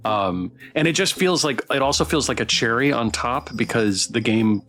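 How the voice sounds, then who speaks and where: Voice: medium pitch, animated, moderate pace, fairly bright tone, soft background music. A streamer hosting a casual Q&A, speaking in an encouraging, complimentary way and feeling out questions as they go. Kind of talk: casual interview chat.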